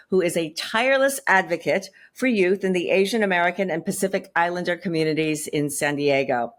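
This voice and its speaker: A woman hosting a radio show, reading a polished introduction and asking her guest questions in a measured way. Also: lowish voice. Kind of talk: scripted introduction and interview questions.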